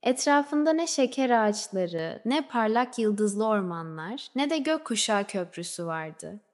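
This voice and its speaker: A woman narrating a scripted children's fairy tale in a measured storybook way, letting phrases trail off softly. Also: medium pitch, lively intonation. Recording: long reverberant hall-like echo.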